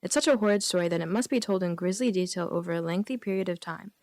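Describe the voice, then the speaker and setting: A woman's voice: on the low side, quick pace, darkish tone, no room sound, studio quality. A woman guest on a radio comedy show, reading jokes aloud and bantering casually. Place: radio studio.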